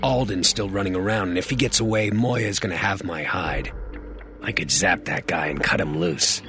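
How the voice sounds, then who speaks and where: Guy doing a brooding video game antihero, really deep gruff voice, terse, loud music behind. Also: normal speed, natural voice. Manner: terse, hard-boiled, cynical. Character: brooding gruff young male antihero with electric powers. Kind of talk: scripted game narration and dialogue.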